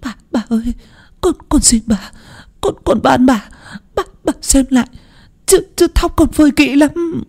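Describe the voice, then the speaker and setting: A man's voice: extremely high pitch, unhurried, vocal fry, a little mellow, voice pushed up, full character voice. A man doing a stammering, begging servant girl. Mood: pleading. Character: young female servant girl, pleading and desperate.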